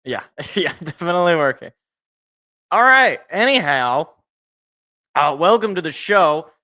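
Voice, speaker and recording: An American man with a slightly raspy, congested voice, hosting a casual solo sports talk show in a rambling, jokey way that repeats phrases for emphasis. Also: on the high side, expressive, a little slow, background noise. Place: indoors at home.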